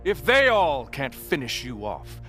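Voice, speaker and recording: Menacing game villain, smug, unhurried, theatrical, faint music behind. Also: male voice, mid-range voice, huge pitch swings, a bit bright. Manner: deliberate, theatrical, threatening. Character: smug, menacing male villain, a rich rival. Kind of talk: animated video game villain acting.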